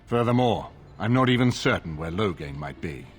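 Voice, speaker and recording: Low-voiced, stern older nobleman. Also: expressive, soft background music. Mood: grave, reasoning. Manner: formal, measured, authoritative. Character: stern, grave older nobleman of a fantasy land. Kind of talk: video game dialogue acting.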